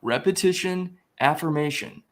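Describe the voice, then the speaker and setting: A man, a guest on a talk show, telling a story casually in an animated way, quoting dialogue as he goes. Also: a little high, normal speed. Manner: animated retelling with quoted dialogue. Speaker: guest, spiritual growth coach.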